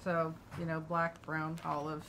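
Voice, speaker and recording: A woman's voice: sits low, completely flat delivery, measured pace, fairly bright tone, rough recording. A woman casually explaining items from an archive as she shows them. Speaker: company archivist showing collection. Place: indoors at a table.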